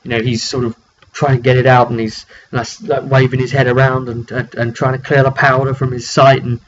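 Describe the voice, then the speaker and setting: A man giving an informal, dramatic recap of a tabletop roleplaying session as game master, telling it like an animated story. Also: mid-range voice, a little fast. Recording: dull lo-fi mic with background noise.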